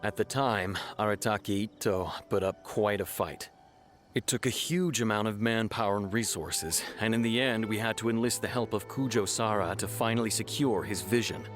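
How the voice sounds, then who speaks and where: A man voicing a formal city official in a video game, briefing others in a measured, official tone. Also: on the low side, natural voice. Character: formal male city official on a theft case. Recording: game music underneath.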